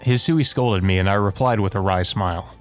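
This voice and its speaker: A man's voice: fairly low pitch, fairly quick. A man giving casual commentary and reading visual novel text aloud as a let's play host, with game music behind him. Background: video game music.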